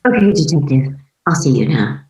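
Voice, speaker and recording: An actress playing an uptight, put-together therapist in a drama table read, measured but hesitant. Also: female voice, very deep voice, sing-song, gravelly, a little mellow, echoey room, heavily acted. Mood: composed. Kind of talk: acted script table read.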